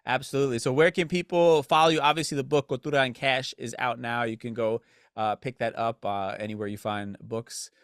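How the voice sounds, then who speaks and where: A man hosts a casual podcast interview, full of encouragement and quick affirmations. He stumbles and restarts his phrases as he goes. The sound is dry and close, with no room echo. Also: medium pitch, steady tone, clear, resonant tone. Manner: enthusiastic, affirming, talks in restarts. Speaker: podcast host.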